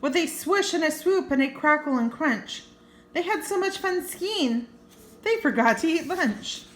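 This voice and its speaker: A woman's voice: high-pitched, animated, unhurried, fairly bright tone, slight background noise. An older woman reading a children's story aloud as a grandmother, expressively, with chatty asides and exclamations. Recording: roomy, echoing home recording.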